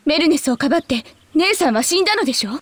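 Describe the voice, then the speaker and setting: Bitter girl with very high voice. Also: lively intonation, rapid-fire, dry recording. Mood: accusing, angry. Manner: accusatory, cold, formally polite speech. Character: bitter, accusing young girl grieving her dead sister. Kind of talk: Japanese game voice acting.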